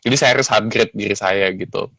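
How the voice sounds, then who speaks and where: A man's voice: lowish voice, quick pace, creaky, bright, forceful tone, breathy edge, no room sound. Earnest man in his thirties, dry sound. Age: 30s. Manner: earnest, reflective, many fillers. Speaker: guest lawyer. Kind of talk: casual interview.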